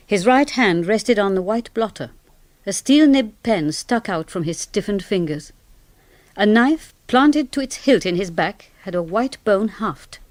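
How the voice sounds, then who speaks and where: A woman's voice: mid-range voice, lightly acted. An actress playing a middle-aged British woman doctor in a radio murder mystery, authoritative and anxious. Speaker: doctor and narrator. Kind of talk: British radio drama acting and narration.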